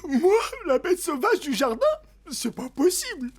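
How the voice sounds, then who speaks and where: Naive cartoon boar, high and bouncy, super enthusiastic. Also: sing-song, a little slow. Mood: disbelieving. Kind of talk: cartoon voice acting.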